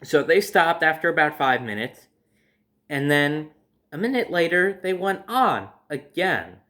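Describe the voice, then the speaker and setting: A man's voice: fairly high pitch, animated, measured pace, sharp tone. A man telling personal stories casually to his viewers, in a conversational way, acting out his reactions as he goes. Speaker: storyteller hosting own video series.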